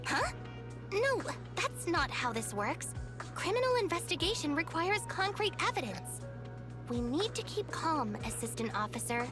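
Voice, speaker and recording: High-pitched, calm android detective. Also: female voice, over music, heavily acted. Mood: calm, authoritative. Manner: measured, formal, matter-of-fact. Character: calm female police investigation android, wise and detached. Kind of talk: video game voice acting.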